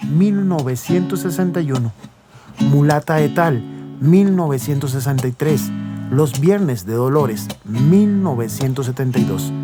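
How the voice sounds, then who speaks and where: A man presents a scripted educational radio segment. His voice sits at a medium pitch, and plucked guitar music plays clearly behind him. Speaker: program narrator.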